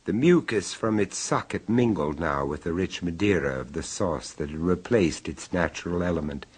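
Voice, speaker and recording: A deep-voiced apologetic dinner guest. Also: male voice, husky, exaggerated voice. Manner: measured storytelling narration, hesitant and apologetic in dialogue. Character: Vincenzo, polite, squeamish male dinner guest, narrator. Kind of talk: 1970s radio drama acting and narration. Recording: old radio broadcast.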